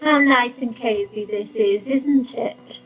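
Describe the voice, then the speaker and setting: A woman's voice: fairly high pitch, muffled recording, soft background music, voice pushed up. A woman voicing a sweet, fussy older landlady with a cooing, genteel manner and an eerily cozy air. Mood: cozy, coaxing. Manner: cooing, genteel, drawn-out exclamations.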